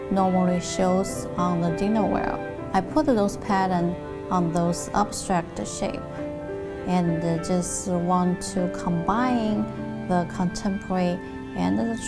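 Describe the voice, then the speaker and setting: A woman with a Chinese accent explaining her own artwork in simple terms for a documentary. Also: on the low side. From China. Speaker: artist. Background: loud instrumental music.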